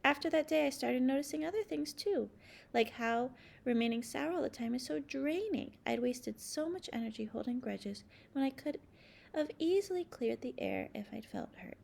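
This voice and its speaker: A woman reading a children's storybook aloud as narrator, with expressive, dramatic emphasis. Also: high-pitched, normal speed, creaky. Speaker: storybook reader.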